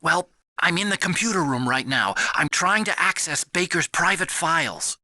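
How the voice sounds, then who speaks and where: An earnest, boastful computer whiz in a video game. His voice is fairly high and very bright, with pitch that swings eagerly as he explains. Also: lively intonation, normal speed, no room sound. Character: nerdy, excitable male computer hacker, earnest and boastful. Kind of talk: dramatic video game voice acting.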